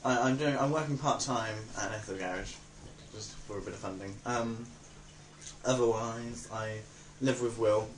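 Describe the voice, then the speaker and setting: Young southern English man, lowish voice, echoey. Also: moderate pace, far from the mic. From Reading, England. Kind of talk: casual documentary interview. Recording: roomy echo, faint background noise.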